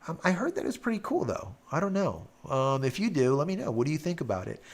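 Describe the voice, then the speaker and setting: A man with a raspy voice hosting a live stream, casually chatting and reading out viewer comments, rambling with lots of fillers. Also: on the high side, wildly swooping pitch, quick pace. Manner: rambling, filler-heavy, hums while scrolling.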